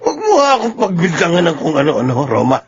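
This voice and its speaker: A man's voice: on the high side, huge pitch swings, fast, husky, full character voice. A man playing an exasperated, defensive man in a Filipino radio drama, with a Filipino accent. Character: exasperated, defensive man accused by his partner. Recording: dull radio broadcast, faint noise.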